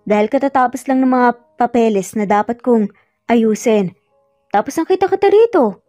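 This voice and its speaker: A woman's voice: fairly high pitch, animated, moderate pace, warm tone, no room sound, soft background music, close to an everyday voice. A woman with a Filipino accent voicing an earnest, insistent man pleading with his lost love in a narrated romance. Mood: coaxing.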